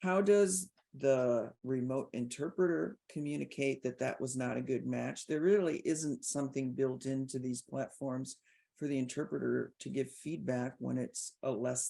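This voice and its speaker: A woman's voice: a bass voice, steady tone, unhurried, vocal fry, warm tone. A woman hosting a podcast, giving articulate, emphatic conversational commentary and posing rhetorical questions. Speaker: podcast host.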